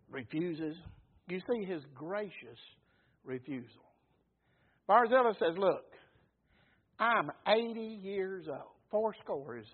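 Casting: high-voiced male preacher, husky tone, folksy and emphatic, tinny lo-fi recording. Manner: folksy, emphatic preaching with call-and-response amens. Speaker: pastor. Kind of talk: sermon.

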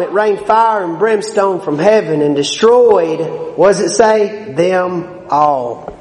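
A man preaching a sermon, emphatic and folksy, his volume swelling and dropping between points. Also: high-pitched. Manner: folksy, plain-spoken asides. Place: church sanctuary. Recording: echoey hall with long reverb.

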